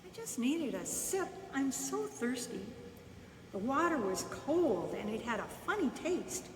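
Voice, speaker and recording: A woman reads a children's story at a slow pace, in short, separated phrases. Her voice is fairly high and comes from a distance in a reverberant room. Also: big pitch swings. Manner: unhurried, with pauses between short phrases. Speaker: volunteer storyteller reading a children's book. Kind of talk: bedtime story read aloud, first-person narration. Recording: echoey reverberant room, distant mic, faint noise.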